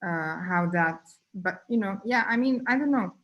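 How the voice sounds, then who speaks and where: A woman in a casual online conversation, thinking aloud in halting phrases full of fillers. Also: mid-range voice, lively intonation, muffled recording. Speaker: guest artist.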